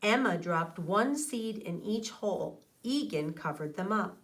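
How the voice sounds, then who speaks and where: A middle-aged woman teaches young children through a read-aloud. Her delivery is unhurried and expressive, with lively rises and falls in an average-pitched voice. She speaks straight to her listeners, close to the mic. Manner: expressive, addressing children directly. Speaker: elementary school teacher. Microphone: microphone on a boom arm close to mouth.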